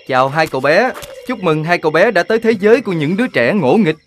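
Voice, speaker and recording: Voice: medium pitch, animated, fast talker, soft background music, lightly acted. An actor voicing a grown-up dog in a children's cartoon, emphatic and theatrical in delivery. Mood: grandly cheerful. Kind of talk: animated children's cartoon dubbing.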